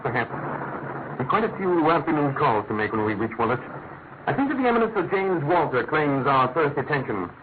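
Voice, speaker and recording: An actor playing a brilliant, self-assured detective in a classic radio drama, with a crisp manner of speech. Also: male voice, fairly high pitch, sing-song, brisk. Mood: brisk, assured. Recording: noisy, tinny vintage radio recording.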